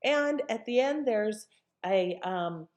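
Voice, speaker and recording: A woman's voice: mid-range voice, lively intonation, takes it slowly, darkish tone. A woman casually commenting on an old radio episode she has presented, rambling a little with fillers.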